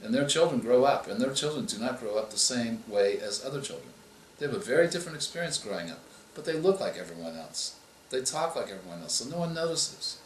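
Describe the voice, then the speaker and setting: A male author answering interview questions, explaining in a measured way and often restarting his phrases. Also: mid-range voice, animated, a little hiss or hum. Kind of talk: interview answers on writing craft. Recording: echoey room with faint ambience.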